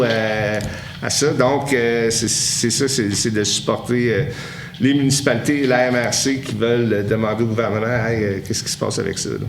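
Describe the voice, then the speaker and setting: A man with a Québécois French accent leads a council meeting, answering citizens with halting, restart-filled talk. His voice sits in a medium range. The room adds a noticeable echo with light background noise. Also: mid-range voice. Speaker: mayor chairing a council meeting. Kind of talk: meeting question period. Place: municipal council chamber.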